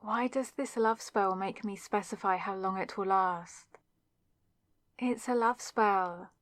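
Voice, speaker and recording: A woman's voice: medium pitch, slow, dry recording, natural voice. A young woman playing a nervous, lovestruck student witch who chats earnestly to herself in an ASMR audio roleplay. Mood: focused, chatty. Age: young adult. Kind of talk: ASMR audio roleplay, scripted solo monologue.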